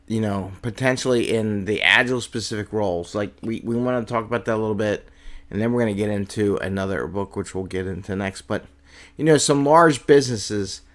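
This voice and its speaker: Low-voiced male interview host. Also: fairly bright tone. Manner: friendly, prompting, loosely phrased questions. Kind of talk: casual interview chat.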